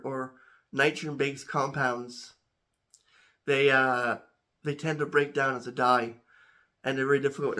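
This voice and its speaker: Congested man, halting and bright. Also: fairly high pitch. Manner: halting, many restarts and repeated words. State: a little congested. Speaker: home chemistry channel host. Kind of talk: casual talk to camera.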